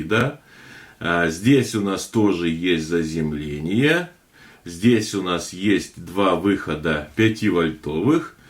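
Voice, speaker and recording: Chatty slightly hoarse man, low voice. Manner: chatty, hesitant with many fillers. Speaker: tech video host. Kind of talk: casual unboxing narration.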